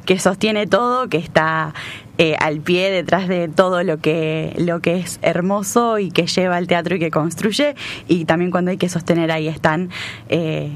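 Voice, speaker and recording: A woman talks about her theater company in a somewhat low voice. She is cheerful and chatty, with laughter slipping in often. A faint background hum runs under her. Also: moderate pace. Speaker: theater director and playwright, guest. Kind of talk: casual interview chat.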